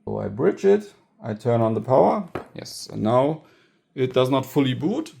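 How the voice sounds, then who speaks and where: A man's voice: mid-range voice, very animated, normal speed, gravelly, dark tone, a little hiss or hum. A man giving a casual hands-on tech tutorial, thinking aloud as he demonstrates. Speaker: electronics hacker presenting own project.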